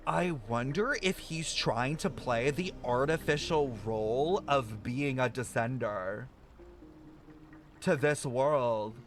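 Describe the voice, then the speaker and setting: A man streaming a game reaction, making profane, joking, chatty commentary with lots of fillers. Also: a little high. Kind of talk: casual live-stream reaction.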